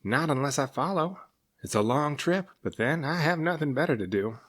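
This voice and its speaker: A man voicing a giant, gentle, good-hearted sea serpent in a storybook, earnest and easily offended. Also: on the high side, expressive, normal speed, pitched above the performer's own voice. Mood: resolved. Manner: grumbling, earnest.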